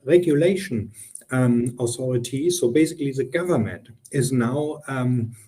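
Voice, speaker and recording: A man's voice: mid-range voice, moderate pace, dark tone, rough recording. A middle-aged man from Germany with a German accent, explaining business topics earnestly in an interview, with frequent ums and restarts. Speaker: guest consultant.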